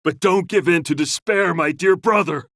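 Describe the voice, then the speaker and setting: A bombastic male club leader in a game. The voice is extremely high, bright and forceful, recorded dry. Every line is a theatrical, hot-blooded rallying cry. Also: normal speed, no room sound. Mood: fired up. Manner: theatrical, rousing proclamations.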